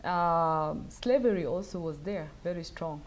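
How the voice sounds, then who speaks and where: A middle-aged woman from South Sudan answering audience questions at a lectern, speaking thoughtfully with many pauses and fillers. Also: fairly low pitch, slight background noise. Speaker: guest speaker. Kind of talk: lecture Q&A answers. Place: wood-paneled event room, at a lectern. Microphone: lectern mic.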